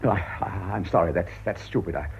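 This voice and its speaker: A man's voice: sits low, fast, gravelly, darkish tone, highs cut off, a little hiss or hum. An actor playing an anxious, well-spoken English clergyman in a British radio drama, hesitant and fretful. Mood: shaken, urgent. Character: flustered, anxious cathedral canon, earnest married clergyman.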